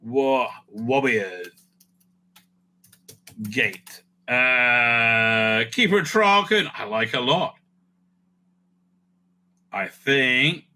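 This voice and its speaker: A man's voice: medium pitch, animated, drawn-out words, long pauses, bright, forceful tone. A man hosting a casual, off-the-cuff ranking video, rambling and interrupting himself as he thinks aloud. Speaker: video host ranking TV stories.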